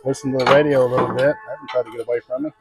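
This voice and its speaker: A man's voice: mid-range voice, fairly even intonation, racing. A man chatting casually and folksily as he hosts an outdoor cooking video, given to approving exclamations. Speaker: cooking vlog host. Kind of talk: casual chat while cooking. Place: outdoor patio. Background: radio music playing.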